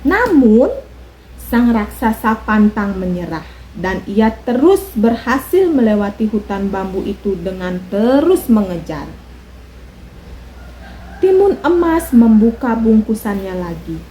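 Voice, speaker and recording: A woman telling a children's folk tale in a slow, expressive storytelling manner. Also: mid-range voice, a little hiss or hum, rough recording.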